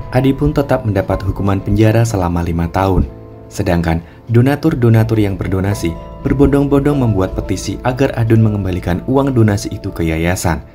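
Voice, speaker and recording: A man narrating a cartoon drama in an even, storytelling way. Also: lowish voice. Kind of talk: scripted narration.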